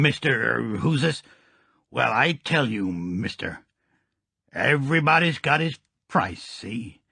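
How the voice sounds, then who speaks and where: A man's voice: medium pitch, gravelly, fairly bright tone, no room sound, pitched above the performer's own voice, exaggerated voice. A man voicing a brash, boastful male gangster.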